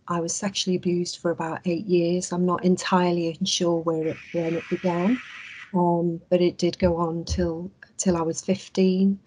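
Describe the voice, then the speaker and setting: Husky-voiced woman psychologist presenting. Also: on the low side, rough recording. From England. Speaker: clinical psychologist speaker. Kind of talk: webinar presentation. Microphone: video call.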